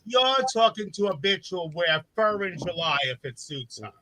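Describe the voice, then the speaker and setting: A man's voice: high-pitched, lively intonation, moderate pace, bright, forceful tone, poor audio. A man hosting a drag podcast, brash and campy, gossiping and swearing freely. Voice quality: says voice has less gravel than before. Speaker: drag queen podcast host.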